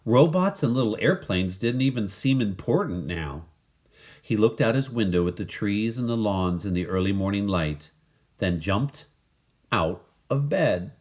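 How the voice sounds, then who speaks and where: Male storybook narrator, lowish voice, unhurried and highly animated in pitch. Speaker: storybook reader. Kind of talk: expressive scripted children's story narration.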